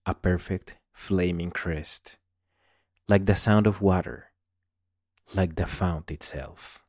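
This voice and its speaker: A man reading literary fiction aloud in a deliberate, solemn and dramatic manner. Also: sits low, darkish tone, no room sound. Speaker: story reader.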